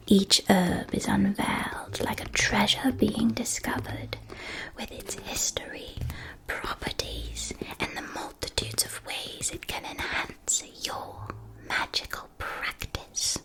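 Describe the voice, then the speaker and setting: Female ASMR performer, doting witch shopkeeper role, fully whispered, unhurried storybook delivery. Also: medium pitch, poor audio. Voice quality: breathy, unvoiced whisper. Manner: slow, careful, storybook phrasing. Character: gentle, doting witch-school shopkeeper woman selling spellbooks.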